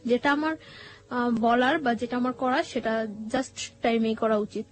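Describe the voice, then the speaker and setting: A woman with a Bangladeshi accent tells a personal story conversationally, halting and repeating phrases as she goes. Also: a little high. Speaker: guest telling her life story. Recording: music bed behind the voice.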